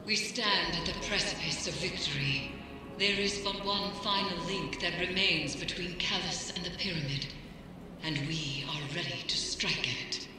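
A woman playing a grave, cryptic occult mystic in a video game, speaking solemnly and deliberately. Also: fairly low pitch, very echoey, big-room sound, music in the background, lightly acted. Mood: grave urgency.

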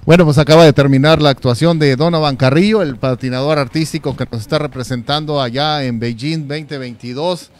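A man's voice: on the high side, brisk, few pauses. A man co-hosting a sports show, giving commentary and analysis into a handheld microphone. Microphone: handheld microphone, masked speaker. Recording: noticeable noise behind the voice.

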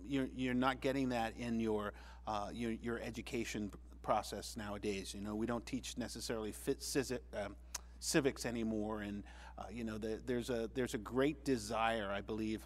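A man speaking earnestly on a panel discussion, measured, with frequent restarts. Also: medium pitch.

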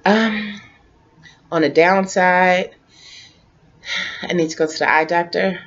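A woman in her late forties chatting casually to camera from her living room couch, relaxed and rambling, repeating herself as she talks. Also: fairly low pitch, slow pace. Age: 40s. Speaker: vlogger. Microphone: webcam. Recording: dull lo-fi webcam audio.

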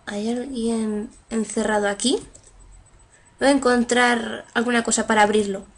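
A woman's voice: on the high side, steady tone, measured pace, husky. Giggly young woman playing a game. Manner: giggly, reads game text aloud, squeals when startled. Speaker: let's play gamer. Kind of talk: casual gameplay commentary.

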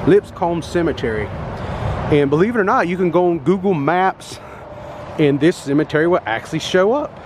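A man in his forties chatting casually as he films himself with a phone at arm's length, walking outdoors by a busy highway. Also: lively intonation, normal speed. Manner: chatty, thinks aloud with many "uh"s. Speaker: vlogger exploring abandoned places. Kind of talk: casual walk-and-talk vlog. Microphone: phone held at arm's length. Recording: traffic noise behind. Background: passing cars.

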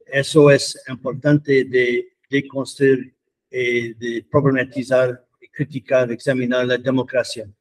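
Canadian man giving a measured, flat talk. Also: medium pitch, rough recording. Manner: measured, short phrases, non-native Spanish. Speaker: professor speaking at a seminar. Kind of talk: prepared talk to students. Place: meeting room, indoors. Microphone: handheld microphone.

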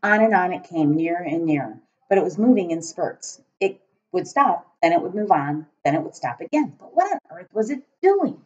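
A middle-aged woman, a teacher, reading a children's storybook aloud with deliberate, expressive phrasing, recorded at home on a laptop. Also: on the low side. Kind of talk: storybook read-aloud narration. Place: small room at home, indoors, beside a kitchen. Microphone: laptop webcam at arm's length. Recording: dull lo-fi webcam sound.

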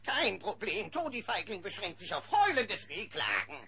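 A man's voice: sits high, big pitch swings, moderate pace, a loud crowd. An actor playing a silly, cowardly little ogre henchman in a children's cartoon audio drama, whining and cackling in garbled phrases. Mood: sly, giggly. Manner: whining, cackling, garbled third-person speech.

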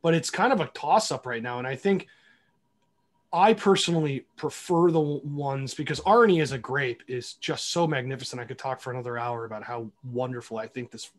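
A man's voice: mid-range voice, brisk. A man from Cleveland with an American accent, talking casually and opinionatedly as a panelist, qualifying his points as he explains. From Cleveland, United States. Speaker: panelist, wine documentary filmmaker. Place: remote virtual panel call.

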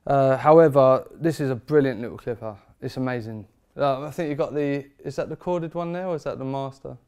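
A man, talking shop as a barber seated in his barber shop. His voice is smooth and resonant, and his speech is emphatic and informal. It rings with eager conviction. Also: mid-range voice, brisk, a little mellow. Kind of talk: product review chat.

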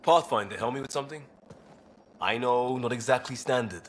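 An actor playing an easygoing young male crewman, a former cop, relaxed and joking. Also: medium pitch, a little fast, background noise, natural voice.

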